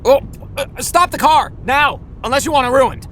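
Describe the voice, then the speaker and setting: A man playing an anxious, caring, gently chiding father in a children's audio drama. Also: very high voice, moderate pace, a little hiss or hum. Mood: alarmed.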